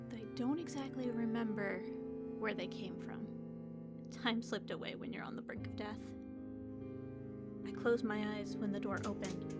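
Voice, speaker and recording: A woman's voice: mid-range voice, natural voice. An actress playing a tired, curious woman near death, narrating in the first person with subdued reflection for an audio drama.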